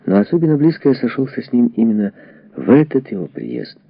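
Husky, expressive male audiobook narrator. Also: mid-range voice, fairly quick, no echo. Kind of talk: dramatic narration of a historical memoir. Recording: dull, lo-fi, dry audio.

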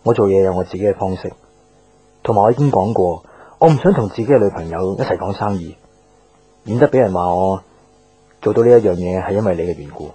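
An actor playing a proud, guarded young boyfriend in a Cantonese radio drama, speaking with a Hong Kong accent in a terse, restrained way. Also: male voice, low voice, fast talker, pauses often, warm tone, slightly rough, rough recording. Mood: firm, defensive. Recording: background music under the dialogue.

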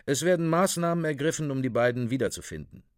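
A man narrating a radio drama like a report, deliberate and matter-of-fact, on a close studio microphone. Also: medium pitch, lively intonation, no room sound.

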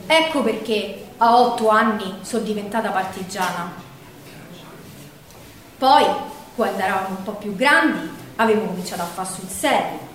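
A woman's voice: mid-range voice, normal speed, close to an everyday voice. A woman with a Roman accent playing a feisty working-class partisan who recalls her girlhood in a colloquial, emphatic stage monologue. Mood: tender, sorrowful, then earnest. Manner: colloquial, emphatic, dramatic storyteller. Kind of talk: theatrical first-person storytelling monologue. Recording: very echoey hall, distant mic, faint noise.

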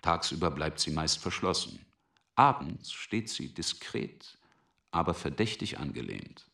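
Male narrator for radio play: low-pitched yet forceful, pointed, animated intonation. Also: unhurried, gravelly. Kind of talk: scripted radio drama narration.

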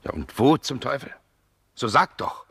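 An actor playing an irritable, commanding gentleman, brusque and imperious, in a 1960s German radio drama. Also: mid-range voice, sing-song, unhurried, vocal fry, heavily acted. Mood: impatient. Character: irritable, commanding 19th-century gentleman, nervous and domineering.

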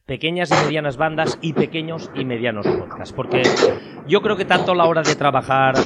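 A man with an accent from Spain hosting a podcast, introducing a round-table chat in an informal, halting way that repeats phrases. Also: on the high side, heavy background noise. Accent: Spain Spanish. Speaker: podcast host.

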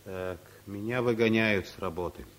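A man's voice: on the low side, normal speed, natural voice. An actor playing a timid, bumbling male office clerk in a radio comedy, meek, apologetic and flustered as he placates. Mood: nervous, apologetic. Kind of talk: radio comedy play acting.

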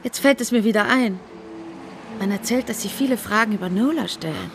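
An actress playing a reserved middle-aged small-town shopkeeper in a German radio drama, measured and reticent as she recollects. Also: medium pitch, noisy background, lightly acted. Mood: polite, guarded.

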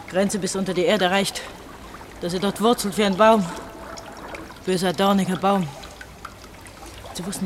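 A woman's voice: medium pitch, background noise, natural voice. An actress in a German radio play voicing a desperate, exhausted young woman, emotional and reproachful in manner. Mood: bitter, accusing. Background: running, dripping water.

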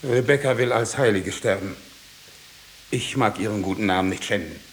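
An actor as a tormented condemned man in an old radio drama, his voice creaky and halting. He speaks with anguished intensity, wrestling his conscience. There is a light hiss over the vintage recording. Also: fairly low pitch, natural voice. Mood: weary defiance. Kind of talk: 1950s German radio drama acting.

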